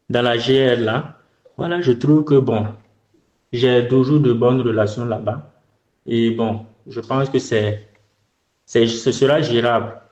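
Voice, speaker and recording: An echoey, slightly dull phone recording of a man with a West African French accent. He speaks at a middle pitch in private conversation. His delivery is hesitant, with words repeated as he goes. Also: darkish tone. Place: indoors, in a bedroom on a phone call.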